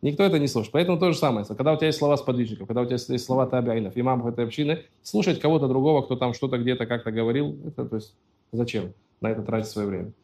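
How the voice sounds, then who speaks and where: A man's voice: mid-range voice, rapid-fire, a little mellow. A man giving a religious lecture, reading out Arabic passages and then explaining them plainly in his own words. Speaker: religious teacher.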